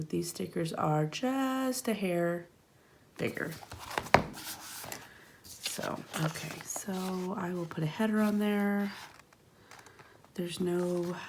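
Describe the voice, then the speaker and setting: A woman in her late thirties talks through her planner session in a low, gravelly voice. She rambles casually, sometimes dropping to a soft murmur as she works, with a faint hiss behind her. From California. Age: 30s. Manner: chatty, rambling, murmurs softly while placing stickers. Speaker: planner vlogger. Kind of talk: casual plan-with-me narration. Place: at home, tabletop.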